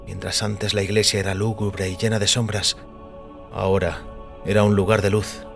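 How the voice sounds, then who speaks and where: Low-voiced man narrating dramatic fiction. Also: level tone, normal speed, gravelly. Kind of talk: scripted dramatic story narration in Spanish. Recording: music under the voice. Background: background music.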